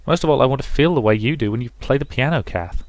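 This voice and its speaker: A man voicing a gentle, thoughtful, reserved teenage girl in a visual novel, measured and earnest. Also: medium pitch, no room sound, pitched above the performer's own voice, close to an everyday voice.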